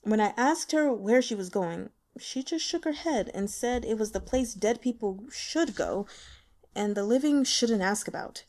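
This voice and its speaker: A woman voicing a shy, awkward young man who speaks gently, haltingly and sincerely in an audiobook. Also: on the high side, lively intonation. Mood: wistful. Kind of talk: audiobook character dialogue.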